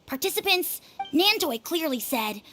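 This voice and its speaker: An earnest young game girl, very high and bright. The delivery is insistent and swings widely in pitch, with music behind it. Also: very animated, a little slow, exaggerated voice. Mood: insistent, pleading. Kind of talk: video game voice acting.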